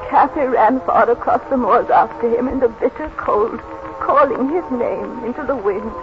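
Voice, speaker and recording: An actress playing a watchful old family servant, telling the past in measured 1930s radio drama style. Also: female voice, on the high side. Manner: measured storytelling. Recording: muffled vintage radio recording.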